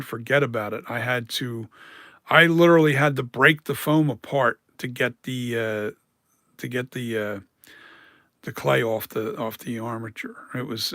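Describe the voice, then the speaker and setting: Hoarse man rambling through mask tips. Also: mid-range voice, a bit bright, dry recording, studio quality. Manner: rambling, self-correcting, explains practically. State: hoarse, dry voice. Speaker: mask maker streaming live. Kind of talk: casual live-stream chat.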